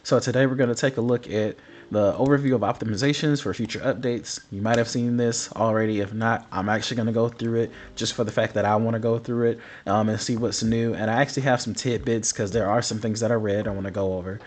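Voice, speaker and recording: A man's voice: lowish voice, steady tone, quick pace, darkish tone. Sick, rambling gaming host man. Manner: rambling, apologetic, many fillers. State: sick, partly lost voice. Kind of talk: casual commentary over patch notes. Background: faint background music.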